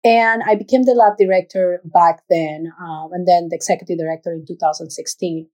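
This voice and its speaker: A woman's voice: lowish voice, fairly even intonation, fairly quick, darkish tone. A woman from Ecuador with an Ecuadorian Spanish accent, answering conversationally as an interview guest. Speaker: interview guest, executive director.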